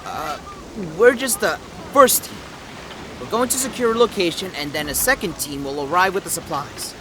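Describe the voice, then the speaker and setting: A defiant, sly adventurer in an audio drama, played by a man, with a highish voice that swings widely. He is emphatic and scheming, recorded with clear rain noise around him. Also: animated, moderate pace. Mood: nervous bluffing. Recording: noisy, with rain and ambience behind.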